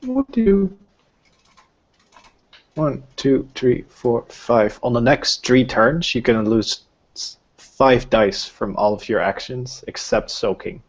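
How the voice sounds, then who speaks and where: A man's voice: medium pitch, expressive, slow, husky, lo-fi sound. A man acting as game master, running a tabletop roleplaying session.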